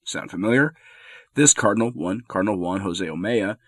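A man's voice: lowish voice, normal speed, husky, dry recording. A man with a head cold and a stuffy voice, hosting his own commentary show, arguing his points firmly with the odd sardonic aside. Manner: firm, argumentative, occasionally sardonic. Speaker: commentary podcast host. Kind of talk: spoken opinion commentary.

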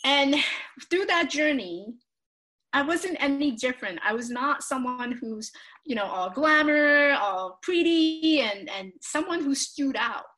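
A woman's voice: high-pitched, animated, a little slow, sharp tone, low-quality recording. A woman from Taiwan, telling her personal story earnestly as an interview guest. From Taiwan, moved to the United States at fifteen. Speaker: podcast guest, author.

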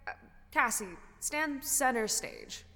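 A woman performs a playful ghost actress in an audio drama, encouraging and theatrical. Her voice is high and bright, taken at an unhurried pace in a slightly reverberant hall. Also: expressive, faint music behind, full character voice. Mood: encouraging, coaxing. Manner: theatrical, airy, coaching.

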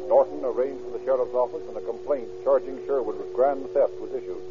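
A man's voice: medium pitch, natural voice. Formal radio crime narrator man acting, music behind. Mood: sober, dramatic. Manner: formal, measured delivery. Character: sober male narrator of a crime case. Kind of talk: 1940s radio dramatic narration. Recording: dull old radio recording.

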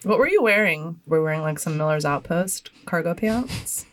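Casual podcast host: woman in her forties with a deep, low voice. She banters and teases her co-hosts. Easygoing, nothing scripted. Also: expressive, normal speed. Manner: playful teasing, calls people babe.

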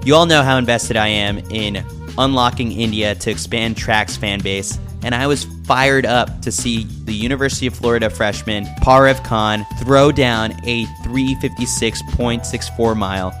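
Male podcast host, medium pitch, scripted sports newsletter read with dry wit and firm opinions. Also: moderate pace, over music. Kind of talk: scripted narration with wry commentary.

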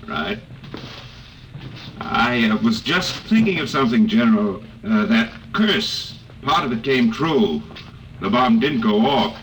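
Nervous science doctor, halting delivery, mid voice, old radio drama with background noise. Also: male voice, medium pitch, lively intonation, a bit bright. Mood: uneasy. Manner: hesitant, halting. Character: uneasy, hesitant bomb-disposal scientist. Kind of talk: classic radio drama acting. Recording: noisy, distant old radio drama recording.

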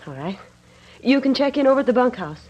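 An actress playing a no-nonsense young ranch boss in an old Western radio drama, brisk and assertive. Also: female voice, sits high, sing-song, husky, muffled recording, exaggerated voice. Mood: businesslike. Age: young adult. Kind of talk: 1940s-1950s Western radio drama acting.

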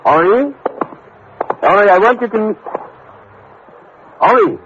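An anxious, courteous French father in a vintage radio drama. His fairly high voice moves expressively with a French accent. The recording is thin and phone-like. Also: animated, measured pace, fairly bright tone, a little hiss or hum. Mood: alarmed. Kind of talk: 1950s radio drama acting.

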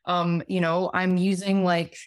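A woman in her thirties with a slightly raspy voice talks through ideas on a podcast, circling back with fillers and restarts as she explains. Her voice sits on the low side, recorded close and dry. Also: flat delivery, unhurried, no echo. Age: 30s. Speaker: guest physician. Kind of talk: casual podcast conversation.

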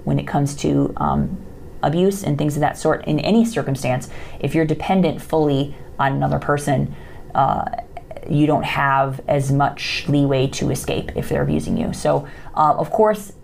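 A woman's voice: low voice, steady tone, moderate pace, gravelly, a little mellow, slight background noise. A woman, hosting a podcast and casually answering listener questions, with lots of fillers and restarts. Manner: conversational, many fillers and restarts. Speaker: podcast host.

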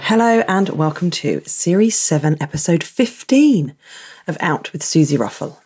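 A woman hosting her podcast from a small box room at home, chatty and croaky in the early morning. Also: on the low side, expressive, moderate pace, over music. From England. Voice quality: husky. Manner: chatty, warm thanks and asides. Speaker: podcast host. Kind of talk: casual podcast intro.

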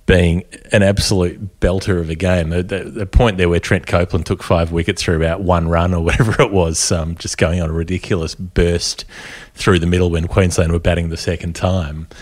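Man, very deep voice, chuckling, relaxed cricket chat. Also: moderate pace. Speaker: cricket podcast co-host. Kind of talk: casual sports chat.

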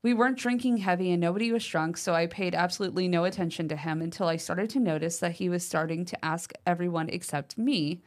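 A woman co-hosting a paranormal podcast, chatting casually and reading a listener's letter aloud with lively emphasis, using fillers like "like" and "um". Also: lowish voice, fast talker, hardly any pauses. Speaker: podcast co-host.

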